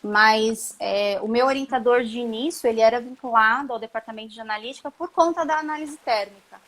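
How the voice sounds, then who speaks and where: A woman's voice: fairly high pitch, brisk, a bit bright. A middle-aged woman, a chemistry professor, chatting casually about her studies on a live video call, with frequent tag questions and restarts. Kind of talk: casual interview on a live stream.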